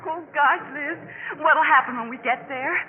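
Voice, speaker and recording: High-voiced anxious girl on old radio. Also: measured pace. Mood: nervous excitement. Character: anxious young college-bound girl, self-doubting best friend. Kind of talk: 1950s radio acting. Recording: tinny reverberant 1950s radio broadcast.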